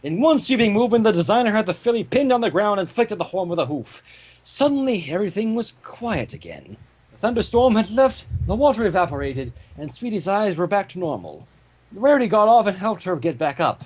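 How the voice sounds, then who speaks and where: A man narrating a fan-fiction story aloud in an animated storytelling style. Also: high voice, fairly quick, breathy edge.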